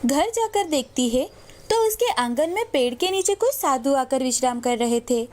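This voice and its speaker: A woman's voice: high-pitched, expressive, normal speed, a little mellow. A woman narrating a moral story in a scripted storytelling style. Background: music.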